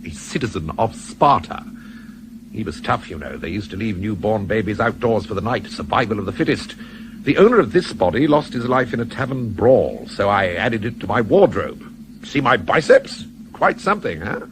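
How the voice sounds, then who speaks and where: An actor playing an urbane, old-fashioned Satan in a radio play, politely fussy and matter-of-fact about the uncanny. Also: male voice, lowish voice, big pitch swings, moderate pace. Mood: proud, breezy. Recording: noisy 1970s radio drama recording.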